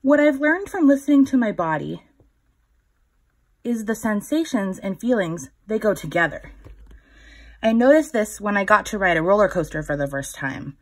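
A woman in her thirties reading a children's picture book aloud, instructive, pausing to put questions to young listeners. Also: mid-range voice, expressive. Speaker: children's story time reader.

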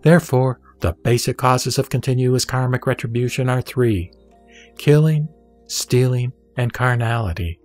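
A man reading scripture as narrator of an audio drama, in a solemn, measured and deliberate way. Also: medium pitch, a little mellow. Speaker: sutra reciter and narrator. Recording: background music under the voice. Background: music.

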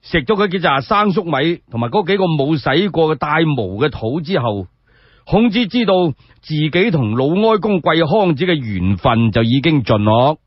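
Male Cantonese radio storyteller, higher-pitched and colloquial, very lively delivery, slightly muffled recording. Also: moderate pace, dry recording. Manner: colloquial, dramatic. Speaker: solo storyteller. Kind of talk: animated oral storytelling of historical tales for radio.

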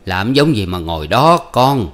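A man's voice: fairly low pitch, big pitch swings, unhurried, fairly bright tone. A man voicing an honest, simple peasant farmer who speaks bluntly and plainly. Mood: gentle. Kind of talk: storybook reading.

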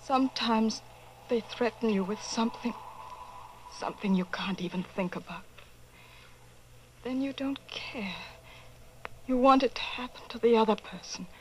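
A woman's voice: a little high, unhurried, long pauses, a little hiss or hum, natural voice, low-quality recording. An actress playing a broken, disillusioned young woman in an old-time radio drama. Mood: bleak, resigned.

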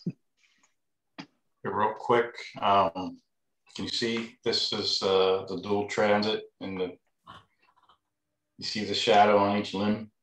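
A man's voice: fairly low pitch, little pitch movement, slow pace, long pauses, fairly bright tone. A man chatting casually as he explains his own astrophotography on a roomy-sounding video call. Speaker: amateur astrophotographer showing his images. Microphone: video call microphone.

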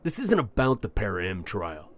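Guy playing a gruff-mannered fbi agent, low voice that moves around a lot, blunt and fed up. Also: huge pitch swings, normal speed. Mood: exasperated. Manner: curt, exasperated, official. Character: weary, irritable male FBI special agent, blunt. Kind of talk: audio drama acting.

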